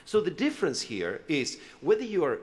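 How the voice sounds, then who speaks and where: A man's voice: fairly high pitch, wildly swooping pitch, moderate pace, in a large hall. A man from Chile speaking on a conference panel, loose and conversational, with many fillers and restarts as he talks through his slides.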